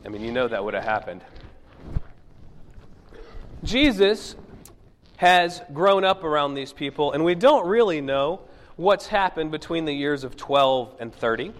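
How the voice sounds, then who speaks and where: Sick pastor with a husky voice. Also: male voice. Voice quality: lowered, husky voice from illness. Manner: joking, chatty asides to the congregation. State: sick with a cold. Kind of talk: conversational sermon. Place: church sanctuary. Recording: long hall reverb.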